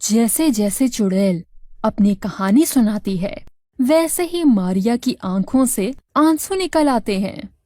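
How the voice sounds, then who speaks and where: A woman's voice: a little high, lively intonation, slow, mellow, no room sound, studio quality. A woman narrating a scripted moral story for children as a storyteller.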